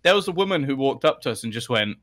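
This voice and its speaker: A man's voice: medium pitch, animated, fairly bright tone. A man in his thirties, a guest on a podcast, chatting casually over a video call and laughing often. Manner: laughing, quick asides. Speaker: guest, esports caster. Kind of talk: casual chat. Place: home room, indoors. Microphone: headset on video call.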